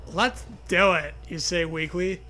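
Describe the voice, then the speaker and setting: A man reading a comedy story aloud with deliberate, mock-dramatic narration and bits of banter. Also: high voice, fairly even intonation, bright, forceful tone.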